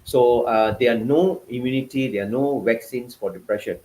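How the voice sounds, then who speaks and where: A man's voice: mid-range voice, normal speed, warm tone. A man with a Malaysian accent, a guest author giving passionate, emphatic interview answers over a video call. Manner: passionate, emphatic, repetitive phrasing.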